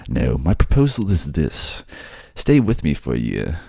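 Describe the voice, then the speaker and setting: A man puts on a suave merman king for an audio romance. He is indulgent and courtly, with gentle teasing. The voice is low and gravelly, recorded close and dry. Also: huge pitch swings, a little slow, no room sound, heavily acted. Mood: earnest, soothing. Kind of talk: romantic audio roleplay acting.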